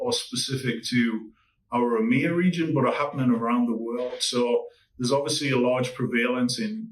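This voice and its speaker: A man from England with a Newcastle accent, a consulting executive giving measured interview answers with frequent ums and restarts. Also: mid-range voice, recorded from a distance, poor audio. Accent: Newcastle English.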